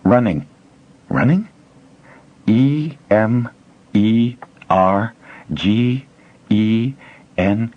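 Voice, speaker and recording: A man voicing an excitable young male apprentice. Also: very slow, pauses often, dull audio. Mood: excited. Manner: excited, high.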